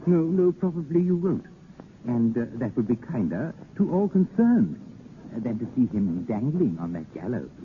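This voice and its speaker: A man's voice: medium pitch, big pitch swings, normal speed, natural voice. A man playing a sly, mocking French king in a 1930s radio drama, with wry, teasing hesitations, on a tinny, noisy old recording.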